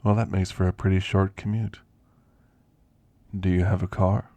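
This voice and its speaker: A man playing a kindly elderly werewolf in an ASMR roleplay, with a gravelly voice and a folksy, gently chatty manner. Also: low voice, flat delivery, normal speed, no echo. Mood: gentle, friendly. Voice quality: gravelly, creaky. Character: kindly, frail elderly male werewolf, teasing and grandfatherly. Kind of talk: ASMR roleplay, intimate character monologue.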